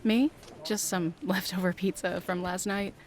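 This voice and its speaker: A woman's voice: medium pitch, steady tone, unhurried, slight background noise, lightly acted. A woman playing a chatty, casual office coworker in an audio drama.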